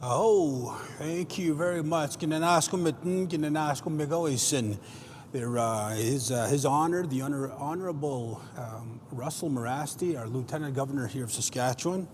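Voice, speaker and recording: A man with a slightly raised voice introduces guests at a graduation ceremony, earnest and halting, full of fillers. The sound has a long reverb tail and a faint hiss of noise behind it. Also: on the high side, darkish tone. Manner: unscripted, many fillers, earnest, praising. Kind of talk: spoken introduction at a virtual convocation.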